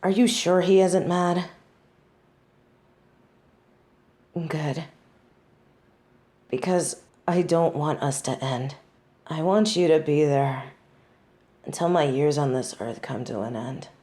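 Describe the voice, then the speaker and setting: Woman acting a haughty, low-voiced snobby tsundere. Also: mellow. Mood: fond, playful. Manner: haughty, wry, deliberate dramatic storytelling. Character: snobby tsundere woman, judge bonded to a demon. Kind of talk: scripted audio roleplay, intimate monologue to listener.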